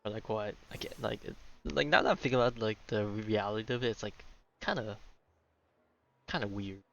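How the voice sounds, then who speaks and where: A man's voice: on the low side, brisk, creaky, fairly bright tone. A man giving casual live gameplay commentary, musing aloud in a rambling way. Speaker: game streamer. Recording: dull lo-fi mic, game music behind. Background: video game music.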